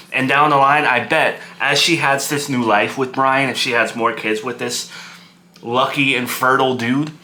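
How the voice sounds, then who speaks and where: A man's voice: medium pitch, sharp tone, close to an everyday voice. A man playing an aggrieved, defensive divorced young father, agitated, repeating himself and stammering over his words.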